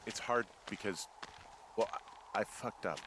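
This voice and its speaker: An actor plays a weary male lookout in a narrative video game, in a fairly low voice. He is guarded and understated with a dry streak of humor, and plainly audible ambient noise sits behind his everyday, natural delivery. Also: a little slow, lightly acted. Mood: subdued, pained. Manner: understated, casual, terse. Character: reserved, dryly joking, weary male fire lookout.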